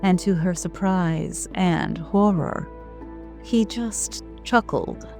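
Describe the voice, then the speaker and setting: Low-voiced, unhurried woman narrator. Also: lively intonation, vocal fry, darkish tone. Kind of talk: scripted narration of an audio drama. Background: music.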